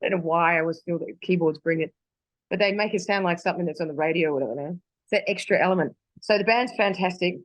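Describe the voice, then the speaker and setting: A woman's voice: fairly low pitch, fast, dry recording, low-quality recording. Australian woman singer, dry close sound. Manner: chatty, rambling with restarts and fillers. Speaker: singer guest. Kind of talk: casual interview chat. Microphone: video call.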